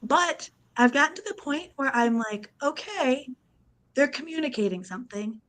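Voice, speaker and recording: Husky-voiced woman with a cold. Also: high-pitched, lively intonation, moderate pace, fairly bright tone. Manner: candid, self-deprecating, laughing. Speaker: party guest. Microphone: video call.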